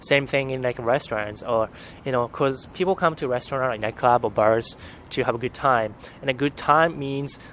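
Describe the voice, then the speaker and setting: A man's voice: mid-range voice, moderate pace, fairly bright tone, slight background noise. Man weighing his words outdoors. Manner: thoughtful, searches for words, many fillers. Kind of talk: casual conversation.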